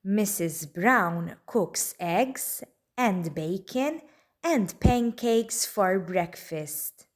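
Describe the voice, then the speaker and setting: A woman reading a children's storybook aloud in a deliberate, expressive way. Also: medium pitch. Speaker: children's book reader. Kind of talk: read-aloud storybook narration.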